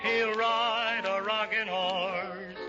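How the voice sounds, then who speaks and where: A woman's voice: medium pitch, fairly even intonation, drawn-out words, loud music behind the voice. A woman singing 1930s popular songs as an amateur on an old radio show. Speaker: amateur singer. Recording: muffled 1930s radio recording. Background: loud musical accompaniment.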